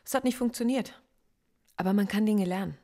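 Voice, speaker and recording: An actress playing a philosophy professor under interrogation in a radio play, answering in short, cool, guarded replies. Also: female voice, medium pitch, brisk, mellow, lightly acted. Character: composed female philosophy professor, stubborn and proud. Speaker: suspect in interrogation.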